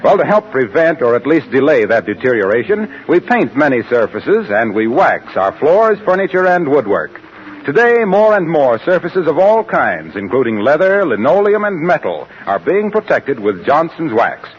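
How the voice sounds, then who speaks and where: A man's voice: medium pitch, big pitch swings, normal speed, slight background noise. A man announcing in 1940s radio style, reading scripted copy in a polished, formal, emphatic way. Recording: dull 1940s radio recording.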